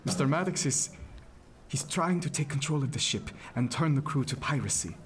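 With clear ambient noise behind him, a man acts a gentlemanly ship's surgeon in a period radio drama. He is earnest and protective, choosing his formal words with care. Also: mid-range voice, animated, moderate pace, close to an everyday voice. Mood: urgent.

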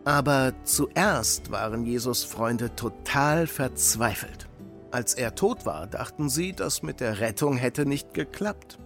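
An actor playing a gentle, middle-aged widowed father who patiently explains faith to children in a children's audio drama. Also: mid-range voice, lively intonation, slow, soft background music. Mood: patient, earnest. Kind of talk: German children's radio play acting.

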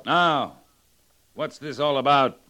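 An actor playing a cavalry captain in an old radio western, authoritative, formal and clipped. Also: male voice, medium pitch, slow, sharp tone, a little hiss or hum. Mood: stern. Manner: clipped, formal military address. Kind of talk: 1950s radio western acting.

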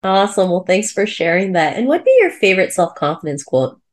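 A woman's voice: mid-range voice, sing-song, brisk. A woman born in the Philippines hosting an interview podcast, talking in an enthusiastic, effusive way. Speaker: podcast host.

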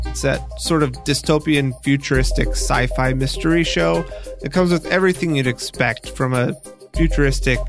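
A man hosting a podcast, interviewing and narrating in a documentary style. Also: on the high side. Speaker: podcast host.

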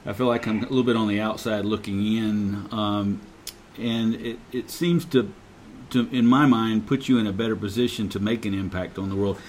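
A man's voice: lowish voice, fairly even intonation, moderate pace, darkish tone, a little hiss or hum. A man from the United States hosting an interview show, asking rambling, casual questions.

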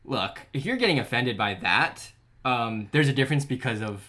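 A man's voice: mid-range voice, animated, normal speed, bright, forceful tone. Young man, casual commentary. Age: early 20s. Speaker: co-host. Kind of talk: casual film discussion chat.

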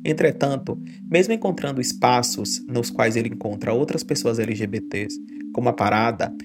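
Male narrator over loud music. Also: mid-range voice. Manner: measured, even reading. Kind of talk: scripted documentary narration. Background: strong music bed.

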